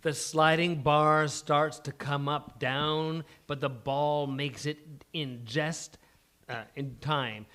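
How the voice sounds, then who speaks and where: A man narrates scripted stage directions for a live comedy table read. His voice is slightly high, with a bright, forceful tone. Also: fairly even intonation, slow.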